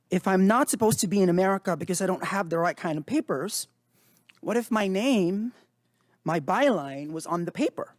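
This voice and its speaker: A man in his thirties from the Philippines, a native Tagalog speaker, giving earnest, measured prepared testimony to a committee. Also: fairly high pitch, dry recording, studio quality. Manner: formal, earnest, measured delivery. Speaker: witness before a committee. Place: hearing room.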